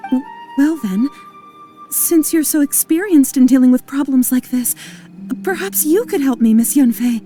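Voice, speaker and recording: A woman's voice: high voice, moderate pace, lightly acted. A woman playing a polite, earnest, deferential client in an anime-style video game, courteous and slightly hesitant. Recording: soft music behind the voice. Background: game music.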